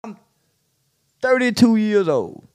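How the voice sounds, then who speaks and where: A man's voice: high-pitched, big pitch swings, takes it slowly, voice pushed up, full character voice. A man voicing a loyal but fed-up young jailed gang member, pleading and aggrieved in street slang. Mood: weary.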